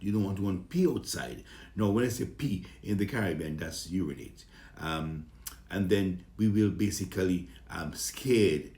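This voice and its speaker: A man's voice: low voice, mellow, roomy sound. A man with a Vincentian accent, a comedian introducing himself to his fans in a jovial, rambling way. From Saint Vincent and the Grenadines and the British Virgin Islands. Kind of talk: casual self-introduction to fans.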